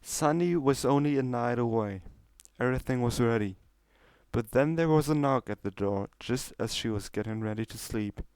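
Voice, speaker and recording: A man's voice: medium pitch, moderate pace, a little mellow, smooth, no room sound. A man reading a scripted story aloud as its narrator. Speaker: story reader.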